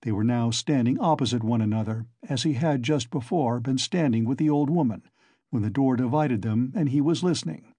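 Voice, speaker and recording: A man's voice: mid-range voice, fairly quick, dark tone, dry recording. A man narrating a literary audiobook in a scripted reading style.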